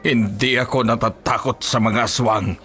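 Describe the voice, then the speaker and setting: A man's voice: on the low side, steady tone, normal speed, close to an everyday voice. A man with a Filipino accent playing a grieving, resolute monster hunter in a horror audio story. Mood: defiant. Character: grieving, defiant male aswang hunter. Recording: faint music behind the voice.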